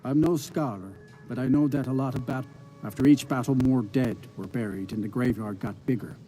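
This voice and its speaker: An actor playing a modest, plain-spoken farmer in a fantasy video game, talking in a matter-of-fact way with music behind him. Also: male voice, medium pitch, a little fast, mellow, natural voice, rough recording. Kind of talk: video game character dialogue.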